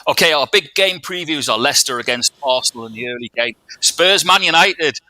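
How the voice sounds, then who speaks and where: A man's voice: on the high side, expressive, brisk, pushed and bright, dry recording, poor audio. A man hosting a football chat show, introducing segments and guests in an upbeat way. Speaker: host.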